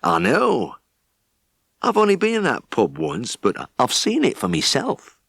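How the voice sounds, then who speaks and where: An old Yorkshire hill farmer, with a voice that swings widely in pitch. He is blunt, bitter and suspicious, a grumbler with a short temper. The sound is close and dry. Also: wildly swooping pitch, unhurried, vocal fry, a bit bright, husky, no echo, full character voice. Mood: bitter, muttering. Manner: blunt, grumbling, bellowing when riled.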